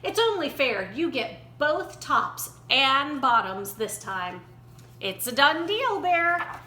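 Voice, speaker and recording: A woman's voice: high-pitched, big pitch swings, slow, pushed and bright, heavily acted. A woman voicing a chipper, crafty male hare in a children's storybook, coaxing in a perky, sing-song way. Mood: mock sympathy. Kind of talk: children's picture-book character reading. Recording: roomy echo, faint background noise.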